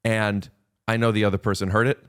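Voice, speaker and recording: Guy with a lowish voice, chatty podcast guest, keeps restarting his sentences. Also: flat delivery, brisk, smooth. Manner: rambling, restarts and repeats words. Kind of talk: casual podcast chat.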